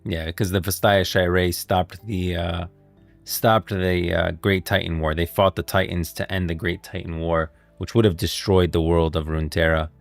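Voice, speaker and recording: A male streamer with a low, creaky voice talks casually through game lore. He thinks aloud in halting, repeated phrases, with soft music playing behind him. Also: flat delivery, no echo.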